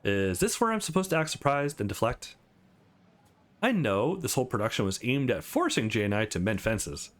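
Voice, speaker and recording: A man's voice: medium pitch, sing-song, moderate pace, voice pushed down, lightly acted. A man voicing a cocky, composed male employee with a dry, bantering manner in a visual novel.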